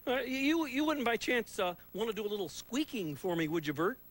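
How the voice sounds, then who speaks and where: A high, cheery wheedling puppet guy. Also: very animated, brisk, fairly bright tone. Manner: wheedling, stammering, coaxing. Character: cheerful childlike male puppet, playful pest.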